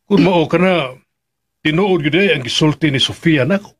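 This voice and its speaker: A man's voice: on the high side, moderate pace, slightly rough. An actor with a Filipino accent playing a worried middle-aged father in a radio drama, questioning his son hesitantly. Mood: probing, stern.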